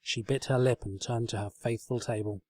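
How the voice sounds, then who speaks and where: A man's voice: medium pitch, steady tone, moderate pace, darkish tone. A man reading a story aloud as narrator, in a scripted storybook style. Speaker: fan fiction reader, narrator.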